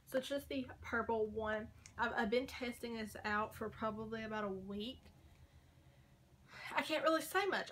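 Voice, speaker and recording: A young woman in her twenties rambling casually through a makeup haul, her voice going hoarse. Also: medium pitch, fairly bright tone, a little hiss or hum. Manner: chatty, rambling, frequent likes and ums. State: losing her voice. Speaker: beauty vlogger. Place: small bedroom corner by a door.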